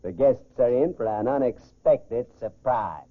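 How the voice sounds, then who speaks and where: An actor playing a confident, calculating gentleman swindler in a 1940s American radio crime drama. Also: mid-range voice, animated, unhurried, very noisy, close to an everyday voice. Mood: cool, scheming. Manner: self-assured, calculating, clipped orders. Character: smooth, confident gentleman swindler posing as society golfer. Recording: muffled, phone-like 1940s radio recording.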